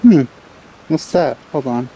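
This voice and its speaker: A woman's voice: rumbling low, unhurried, dark tone, slightly rough, rough recording. A woman giving casual let's play commentary, musing aloud as she reacts to the game. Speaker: let's player. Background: game music.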